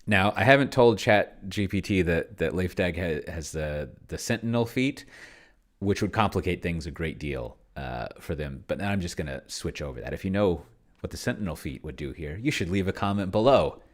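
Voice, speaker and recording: A man casually thinking aloud as he hosts a tabletop game session, musing and halting as he goes. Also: low-pitched, lively intonation. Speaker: host playing a tabletop roleplaying game with an AI game master.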